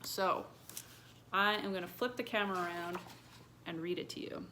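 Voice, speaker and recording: A woman reading a picture book aloud to children in a casual, conversational way, pausing to put questions to the listener. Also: fairly low pitch, bright, forceful tone, slight background noise. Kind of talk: casual read-aloud with chatty asides to young viewers.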